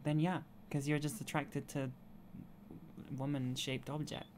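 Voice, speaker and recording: A woman's voice: sits low, flat delivery, unhurried, a little mellow, slight background noise. A young woman guest on a stream, teasing her co-host in a dry, casual way. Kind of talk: casual chat. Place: bedroom streaming setup.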